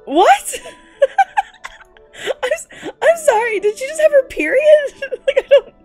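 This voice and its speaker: Young female streamer, non-native English speaker, very lively pitch swings, slangy exasperated commentary over music. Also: unhurried. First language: not English. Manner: animated, exasperated, giggly, slangy, profane. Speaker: streamer reacting to fan fiction. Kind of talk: casual commentary while reading aloud.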